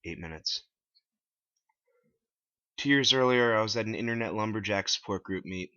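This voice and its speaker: A man reading his own story aloud as a scripted dramatic narration in a deep voice. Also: normal speed, bright, forceful tone, no room sound. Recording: dull lo-fi, dry close recording.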